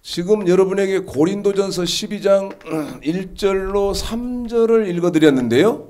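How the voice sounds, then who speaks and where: A man in his sixties preaching a Sunday sermon, his voice stuffy and nasal from a cold, rising high and emphatic as he drives his points home. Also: moderate pace, darkish tone. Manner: rises to an emphatic raised pitch when preaching. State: congested with a cold. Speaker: pastor.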